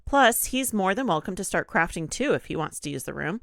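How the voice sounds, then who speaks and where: A woman's voice: fairly low pitch, fairly quick, vocal fry, no echo, studio quality. Congested woman reading aloud casually. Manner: relaxed, laughs often. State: congested, a little nasal. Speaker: podcast co-host.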